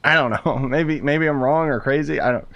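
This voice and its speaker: A man's voice: on the high side, normal speed, fairly bright tone, muffled recording. A man chatting informally to viewers as a game streamer, rambling and thinking aloud. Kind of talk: casual live-stream commentary, chatting with viewers.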